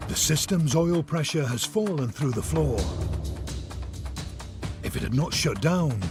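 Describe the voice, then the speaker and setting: A man's voice: fairly high pitch, lively intonation, a little slow. A man reading scripted, dramatic documentary narration. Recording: loud music under the voice.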